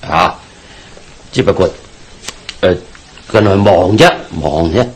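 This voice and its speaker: A man's voice: sits low, expressive, slow, a little hiss or hum. An actor playing a frightened, guilt-ridden businessman uncle in a Hong Kong Cantonese radio drama, stammering and repeating himself nervously. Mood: placating, nervous. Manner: nervous stammering, anxious repetition.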